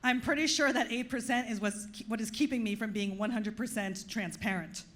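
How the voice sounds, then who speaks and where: A woman's voice: on the high side, moderate pace, a bit bright. A woman from Long Island, New York, with a Long Island accent, performing a stand-up comedy set in a club, delivering dry, self-deprecating punchlines. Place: comedy club stage with audience.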